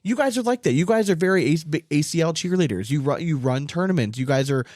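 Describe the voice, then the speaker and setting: A man's voice: fairly high pitch, lively intonation, fast talker, a little mellow, no room sound, clean studio sound. A man hosting a casual sports podcast, talking emphatically and opinionatedly and swearing freely. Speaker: podcast host.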